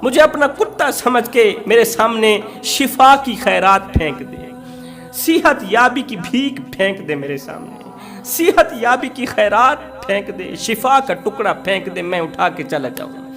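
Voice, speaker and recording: Man acting desperate sick man begging, high-pitched. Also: lively intonation, unhurried, bright, forceful tone, faint music behind, full character voice. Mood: humble pleading. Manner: pleading, self-abasing, repetitive.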